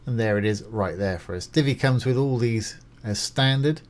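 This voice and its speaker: Man presenting a casual software tutorial. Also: medium pitch, moderate pace. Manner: informal, reassuring, explains each step as it is done. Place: voice-over of a screen recording.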